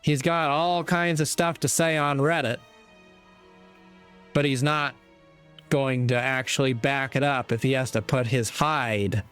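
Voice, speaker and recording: A man giving a preachy opinion monologue in deliberate, halting phrases with rhetorical asides. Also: medium pitch, fairly even intonation, a bit bright, smooth, faint music behind. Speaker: commentator delivering a moral monologue.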